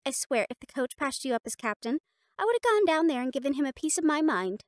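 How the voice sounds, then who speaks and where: A woman performs a young catgirl cheerleader captain from an audio roleplay, with a high, girlish pitch. She is bossy and sassy, punching her words with sharp-tongued mean-girl confidence. The sound is dry, with no room around the voice. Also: very animated, fast talker, no room sound. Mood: teasing. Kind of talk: scripted audio roleplay acting.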